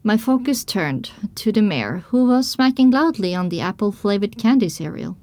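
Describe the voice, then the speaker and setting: A woman playing a pony mare who narrates her own adventure in measured, descriptive audiobook style. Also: medium pitch, animated, mellow, no echo, natural voice. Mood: narrating. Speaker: first-person narrator.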